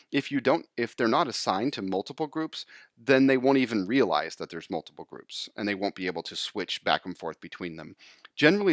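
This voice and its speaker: A man explaining software step by step in an informal screencast tutorial voice-over. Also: deep voice, no room sound.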